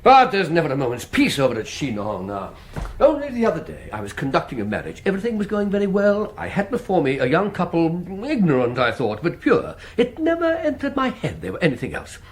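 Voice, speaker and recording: An actor playing a zealous, self-important exorcist priest in a radio drama, speaking in a pompous, theatrical way. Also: male voice, on the high side, huge pitch swings, fairly quick. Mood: aggrieved, earnest.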